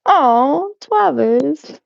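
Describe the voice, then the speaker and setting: A woman's voice: high-pitched, lively intonation, very slow, vocal fry, dry recording. A young adult woman streaming while she cleans, chatting loosely with her viewers, giggling and swearing often. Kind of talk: casual live-stream chat while cleaning. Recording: slightly muffled, dry sound.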